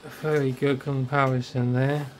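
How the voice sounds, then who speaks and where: A man giving casual, halting, muttered hands-on commentary about gadgets. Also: medium pitch, little pitch movement. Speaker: radio hobbyist reviewer. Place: indoors in a house. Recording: clearly noisy handheld home recording.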